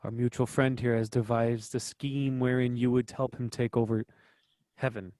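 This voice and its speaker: A man reads a stern archangel, God's dutiful warrior, in a stage play. The voice sits at a middle male pitch, firm and reproving, in a dry, close sound. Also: little pitch movement, moderate pace, warm tone, no echo, close to an everyday voice. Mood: stern, scornful. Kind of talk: virtual table read of a stage play.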